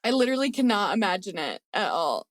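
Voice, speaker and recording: A woman's voice: medium pitch, sing-song, fast, no echo, studio quality. A woman chatting casually and playfully as a podcast co-host, very informal, saying "like" often. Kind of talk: casual chat.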